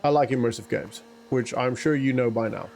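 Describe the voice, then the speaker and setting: A man's voice: mid-range voice, brisk, creaky, a little mellow. Rambling Afrikaans-speaking man playing a farm game. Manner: rambling, self-talking, chuckles to himself. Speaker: gaming YouTuber. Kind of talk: casual let's-play commentary.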